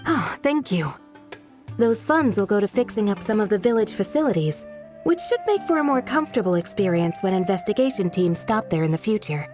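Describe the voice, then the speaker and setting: A woman playing a composed, responsible guardian in a video game, speaking in a measured, polite, businesslike way. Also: medium pitch, very animated, over music, close to an everyday voice. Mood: gracious. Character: composed, responsible female guardian, courteous and practical. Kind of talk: video game character dialogue.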